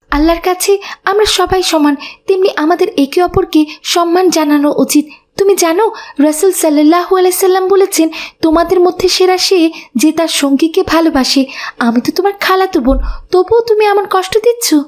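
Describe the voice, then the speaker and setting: An earnest, pious little cartoon girl voiced by a woman, very high in pitch. She speaks dutifully and righteously, as if teaching right from wrong. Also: moderate pace. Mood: reproachful, earnest. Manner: earnest, moralizing. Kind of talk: children's cartoon voice acting.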